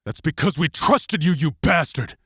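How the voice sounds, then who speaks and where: A man's voice: a little high, animated, moderate pace, fairly bright tone, slightly rough, no room sound, clean studio sound, voice pushed up. A man voicing a betrayed, angry resistance fighter in an audiobook drama, bitter and snapping in manner. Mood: furious. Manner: icy, snapping, hissing.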